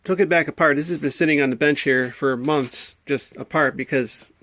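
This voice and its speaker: Higher-voiced American man, casually thinking aloud. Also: normal speed, a bit bright. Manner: hesitant, thinking aloud, puzzled. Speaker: hobbyist video maker. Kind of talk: casual show-and-tell. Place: indoors at a home workbench.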